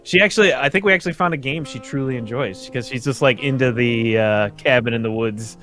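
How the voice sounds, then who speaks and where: A man's voice: medium pitch, fairly quick, a bit bright, soft background music. A young man chatting casually in a rambling, informal way. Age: young adult. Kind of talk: casual chat.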